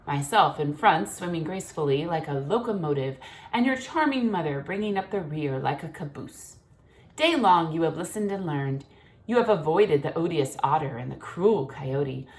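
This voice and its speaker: A woman reading aloud as a long-winded, grandiose father swan in a children's story, speaking with theatrical grandeur. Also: fairly low pitch.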